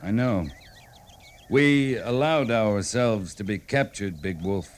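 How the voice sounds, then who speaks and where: A frontier cavalry captain in a classic radio western. His American voice is fairly low and stays measured under threat. He speaks tersely, with dry authority. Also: slight background noise. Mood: earnest, firm. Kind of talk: old-time radio drama acting.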